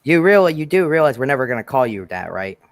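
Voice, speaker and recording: A man's voice: mid-range voice, very animated, fast, dry recording. Teasing male host, dry sound. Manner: joking, teasing, trails off and restarts. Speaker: host of the reaction video. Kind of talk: casual reaction chat.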